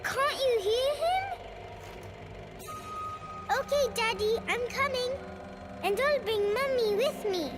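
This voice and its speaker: A woman's voice: very high voice, slow pace, long pauses. An actress playing a sweet, trusting little girl in a video game, childlike and eager. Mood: excited. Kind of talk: video game cutscene acting. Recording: loud music underneath.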